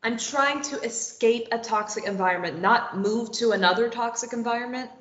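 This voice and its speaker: A woman's voice: a little high, normal speed, fairly bright tone, lightly acted. Woman acting sarcastic runaway woman, echoey room. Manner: sarcastic, dismissive. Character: bitter, self-deprecating young woman desperate to run away. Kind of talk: table read of a stage play. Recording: dull, roomy, echoey recording.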